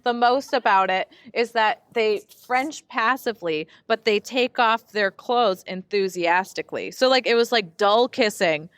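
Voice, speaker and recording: A woman's voice: medium pitch, a little slow, hardly any pauses, a bit bright, clean tone, dry recording, studio quality. A woman co-hosting a podcast in casual comic banter, candid and joking. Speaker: podcast co-host.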